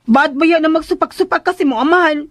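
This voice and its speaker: Woman as cheeky young nursemaid, piercingly high pitch, chatty, blurting, pleading, radio drama, dry sound. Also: fast, no echo, lightly acted. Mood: flustered defensive. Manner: blurting, hesitant, chatty back-talk. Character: cheeky, plain-spoken, pleading young female caretaker.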